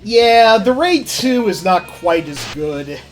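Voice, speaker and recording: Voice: medium pitch, expressive, slow pace. A streamer chatting casually while playing, rambling in an offhand way and swearing freely. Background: game music.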